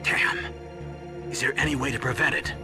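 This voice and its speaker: A man's voice: medium pitch, fairly even intonation, normal speed, music in the background, natural voice. Older male officer for a game, played by a man, authoritative and earnest, medium register, score behind. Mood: concerned. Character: grave, earnest older military commander on radio. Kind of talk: dramatic video game acting.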